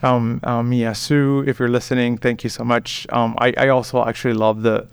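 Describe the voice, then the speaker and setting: A man, chatting as an interview guest and pausing often with "um.". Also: medium pitch, level tone, gravelly, smooth, no room sound. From Puerto Rico. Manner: hesitant, many ums and restarts. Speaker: guest, veterinarian. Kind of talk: casual interview chat.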